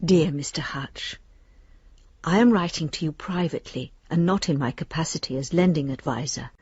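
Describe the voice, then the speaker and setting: An actress playing a discreet, polite bank lending adviser, reading a formal, confiding letter aloud in a radio drama. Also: female voice, sits low, normal speed, darkish tone, breathy edge, no echo, lo-fi sound.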